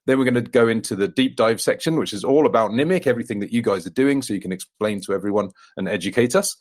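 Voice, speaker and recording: A man with a fairly low voice hosts a podcast interview. He sounds enthusiastic and encouraging, and he keeps the talk moving with quick replies of agreement. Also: darkish tone. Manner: enthusiastic, encouraging, with frequent backchannels. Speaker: podcast host, co-founder.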